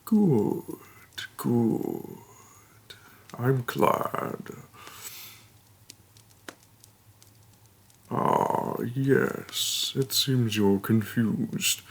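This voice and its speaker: A man playing a hulking, patient orc shaman and healer in a fantasy roleplay audio, speaking in a gravelly, breathy voice with a slow, deliberate and reassuring delivery. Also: heavily acted. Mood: calm, reassuring. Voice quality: gravelly, husky, breathy low voice. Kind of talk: fantasy roleplay audio acting, addressing the listener.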